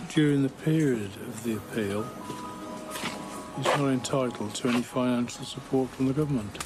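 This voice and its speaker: A man's voice: medium pitch, normal speed, loud music behind the voice. Blunt man, matter-of-fact. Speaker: High Court enforcement agent. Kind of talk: on-the-job talk and interview.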